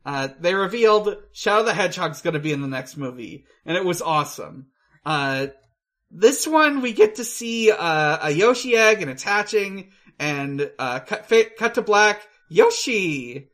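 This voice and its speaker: A podcast host in casual film review chat, with a halting, rambling delivery. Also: medium pitch, big pitch swings, a bit bright.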